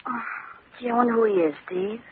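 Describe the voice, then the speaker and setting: Woman acting a high, excitable radio detective's sidekick. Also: a little fast, natural voice. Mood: worried. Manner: expressive, exclamatory. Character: plucky, excitable female investigator sidekick. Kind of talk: old-time radio drama acting. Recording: muffled, noisy vintage radio recording.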